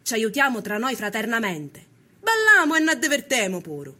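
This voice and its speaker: A woman's voice: a little high, wildly swooping pitch, normal speed, bright, forceful tone, exaggerated voice. A woman playing a resolute Sicilian peasant activist of the 1890s in a documentary drama, earnest and declarative, with a Sicilian accent. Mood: earnest, hopeful.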